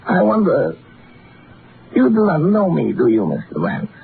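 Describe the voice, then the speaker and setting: An actor playing an overly polite, sinister male visitor in a vintage radio drama, with a gravelly voice and formal, hesitant phrasing. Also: a little high, wildly swooping pitch, unhurried, exaggerated voice. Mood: quietly menacing. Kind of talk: 1940s radio drama acting. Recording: tinny, noisy vintage radio recording.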